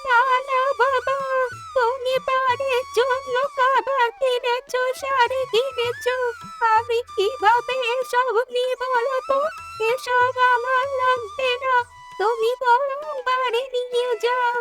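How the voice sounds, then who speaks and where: A frail, kindly old village woman in a cartoon, with a very high, thin voice. She speaks slowly and gently, pleading softly over background music. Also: flat delivery.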